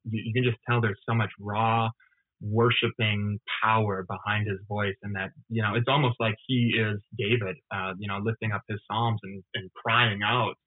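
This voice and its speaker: A man's voice: lowish voice, little pitch movement, moderate pace, no echo. A man giving casual interview answers as a podcast guest over a remote call, earnest and hesitant in his phrasing. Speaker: podcast guest, associate producer of a TV series. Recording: thin phone-like call audio.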